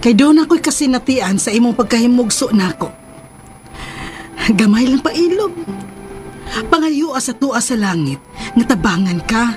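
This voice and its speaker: A woman's voice: fairly high pitch, animated, moderate pace, pauses often, a little mellow, lightly acted. Woman acting a patient mother, music behind. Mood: consoling. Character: patient, supportive mother of a grown son. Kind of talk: radio drama acting.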